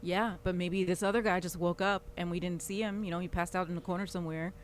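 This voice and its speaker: Woman, lower voice, in-character player thinking aloud; light background noise. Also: steady tone, quick pace. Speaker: tabletop player voicing a comms tech. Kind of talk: in-character roleplay, casual.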